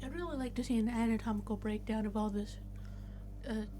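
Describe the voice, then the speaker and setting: A woman's voice: a little high, fairly quick. A woman chatting casually as a player in a tabletop game, inquisitive in the way she talks. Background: music.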